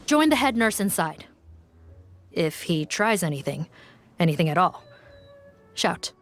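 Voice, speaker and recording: A curt low-voiced officer. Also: female voice, very animated, a little slow, slight background noise. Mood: stern. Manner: curt, giving short orders. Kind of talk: game cutscene voice acting.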